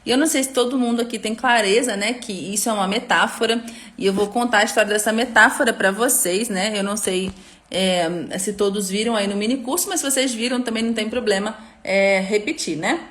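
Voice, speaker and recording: A woman in her young adult years, originally from Rio de Janeiro, with a faded Carioca lilt. She teaches a live online class at a middle pitch, laying out ideas step by step and often asking her listeners short checking questions. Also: brisk, fairly bright tone. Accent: Carioca Brazilian Portuguese, softened. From Rio de Janeiro, Brazil. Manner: explanatory, frequent tag questions. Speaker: educator and course host.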